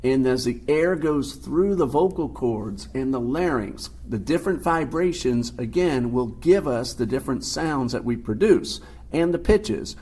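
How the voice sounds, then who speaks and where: A man teaching a recorded physical science lesson, explaining terms patiently and step by step in his deep voice. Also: animated, measured pace, darkish tone, slightly rough. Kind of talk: recorded classroom lecture.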